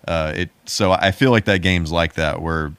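A man's voice: low voice, moderate pace, a bit bright, smooth, no room sound. A man in a casual, rambling and enthusiastic chat about video games, as a podcast co-host.

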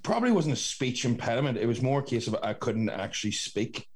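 A man with a Northern Irish accent in casual podcast chat, telling anecdotes in an animated, sweary way. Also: medium pitch, a little fast, husky. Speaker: podcast host.

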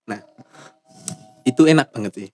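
A man's voice: mid-range voice, expressive, normal speed, darkish tone. A man co-hosting a casual chat podcast, telling stories playfully and laughing often, from Java. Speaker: podcast co-host. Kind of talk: casual banter.

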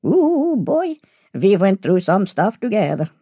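Audio-drama casting: genteel old vampire gentleman played by a woman, arch and exclamatory, mellow husky tone, wildly swooping delivery. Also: medium pitch, big pitch swings, a little slow, no echo, studio quality. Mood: chatty, wry. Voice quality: husky, creaky. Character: chatty genteel male vampire, old, tetchy-fond, theatrical. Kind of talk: acted audio story dialogue.

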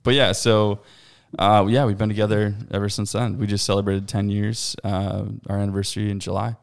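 A loud man in his thirties, talking easily as a podcast guest. His low voice has a gravelly edge but stays smooth and full. He rambles warmly through his stories, full of fillers. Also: little pitch movement, brisk. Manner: loud, laughs often, rambling with many fillers. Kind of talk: casual chat.

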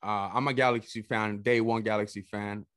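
A young man from El Salvador hosting a casual podcast chat, energetic and saying "bro" a lot. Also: fairly low pitch, moderate pace, no echo, clean studio sound. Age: young adult. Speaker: podcast host.

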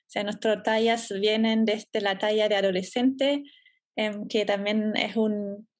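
A German woman in her thirties, guest on a podcast interview, talking about her business in a relaxed way and laughing easily. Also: mid-range voice, a little slow. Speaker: entrepreneur guest.

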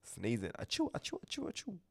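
A man's voice: mid-range voice, wildly swooping pitch, fast talker, creaky, fairly bright tone, slightly rough. A man hosting a podcast in a casual, gossipy chat, talking with a stuffy nose and gushing, opinionated comments. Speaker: podcast host.

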